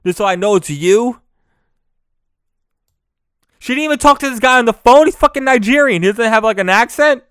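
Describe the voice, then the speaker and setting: A young man rants alone into his podcast mic, cursing, mocking, and tripping over his own restarts. His voice sounds smooth and clear, and the recording is close and dry, with no room sound. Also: extremely high pitch, animated, normal speed, long pauses, a bit bright, no room sound. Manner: profane, mocking, rambling with many restarts. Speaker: podcast host. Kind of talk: solo comedic rant.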